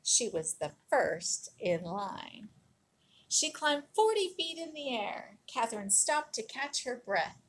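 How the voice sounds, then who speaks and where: A woman reading a children's picture book aloud in an expressive, dramatic storytelling manner, leaning on key words and drawing them out. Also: on the high side, takes it slowly, rough recording. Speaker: storybook reader.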